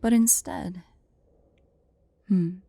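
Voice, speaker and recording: A woman voicing a haughty, vain, teasing female dragon who is secretly fond, in an intimate, breathy, imperious ASMR roleplay style. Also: lowish voice, warm tone, no echo, heavily acted. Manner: slow, imperious, playful, breathy near-whispers at times.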